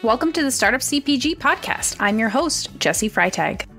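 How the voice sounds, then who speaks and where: A woman's voice: on the high side, big pitch swings, normal speed, a bit bright, faint music behind. A woman hosting a podcast, introducing her guest and asking questions with upbeat enthusiasm. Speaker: podcast host. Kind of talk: podcast interview.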